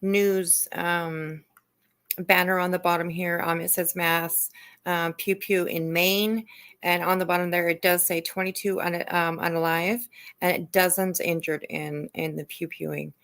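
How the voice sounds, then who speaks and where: A woman hosting a livestream in casual chat, speaking in a hesitant, halting way. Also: fairly low pitch, level tone, a bit bright. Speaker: livestream host.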